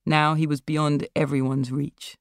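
A woman narrates literary fiction as its author. Her voice is on the low side, and the recording is close and dry. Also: little pitch movement, a little slow, clear, resonant tone, no echo. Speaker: author reading own fiction.

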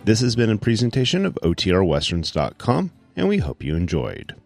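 Male announcer for podcast sign-off, low and creaky, scripted read over music. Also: huge pitch swings, brisk.

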